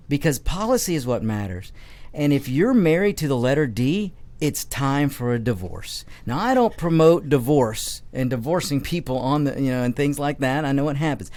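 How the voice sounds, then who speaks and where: A middle-aged man hosting political talk radio from a studio. He is emphatic and opinionated, often stumbles and restarts his phrases, and speaks at an average pitch. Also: a little mellow. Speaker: radio talk show host.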